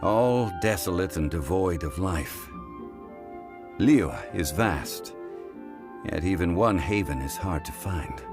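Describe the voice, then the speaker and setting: A man voicing a calm, learned and dignified figure in a video game, speaking in a measured, formal way. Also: low-pitched, full character voice. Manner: measured, formal, storytelling cadence. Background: game music.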